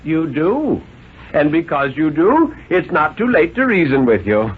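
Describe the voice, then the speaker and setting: Condescending radio father, acted by a man, high-pitched and emphatic, tinny old recording. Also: very animated, a little slow, a little hiss or hum. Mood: patronizing. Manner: pompous, insistent, jolly-patronizing, emphatic. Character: overbearing, condescending father lecturing his small son. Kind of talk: 1950s radio dramatic reading.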